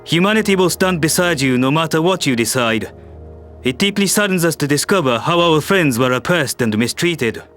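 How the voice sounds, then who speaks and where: A man's voice: a little high, expressive, normal speed, a bit bright, voice pushed down. A man voicing a firm, diplomatic world leader in a science fiction story. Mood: firm, solemn. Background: faint music.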